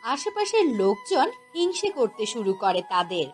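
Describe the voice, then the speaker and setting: Slow, expressive woman storyteller. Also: on the high side, faint music behind. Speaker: narrator. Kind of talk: scripted cartoon story narration.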